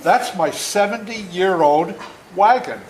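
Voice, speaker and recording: A man in his seventies talking and reading aloud to children as an author, plain-spoken and deliberate, often checking in with questions. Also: high-pitched. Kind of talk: storytelling talk and read-aloud to children. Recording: echoey room with faint noise.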